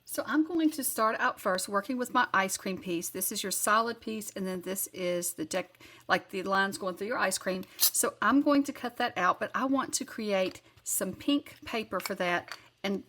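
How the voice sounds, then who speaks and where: A woman's voice: medium pitch, moderate pace, pushed and bright. A woman with a Southern accent hosting a card-making channel, talking through a craft tutorial in a chatty, rambling way and correcting herself as she goes.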